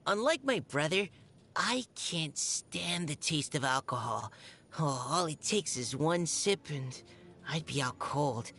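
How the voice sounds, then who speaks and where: A young woman voicing a shy, gentle, earnest army scout in a video game, speaking politely and hesitantly. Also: low voice, animated, slow pace, a little hiss or hum, exaggerated voice. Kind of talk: video game character voice lines. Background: music.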